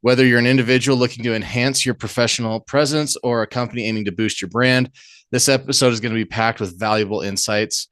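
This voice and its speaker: A man's voice: mid-range voice, steady tone, fast, fairly bright tone, dry recording, clean studio sound. A man hosting a business podcast, introducing his guest and asking questions with enthusiasm. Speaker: podcast host. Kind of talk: podcast host introduction and interview.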